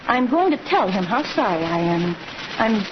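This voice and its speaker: An actress playing an earnest, proper young schoolteacher in an old-time radio western, speaking with dramatic feeling. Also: female voice, medium pitch, big pitch swings, moderate pace, close to an everyday voice. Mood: remorseful. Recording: muffled, noisy old radio recording.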